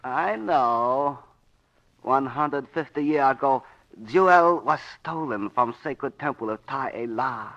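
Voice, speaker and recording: An actor playing a courteous, crafty merchant in a 1940s radio drama, formal and measured, with clipped grammar. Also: male voice, medium pitch, a bit bright. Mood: courteous, knowing. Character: courteous, crafty male Oriental merchant, coolly determined. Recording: dull, band-limited 1940s radio recording.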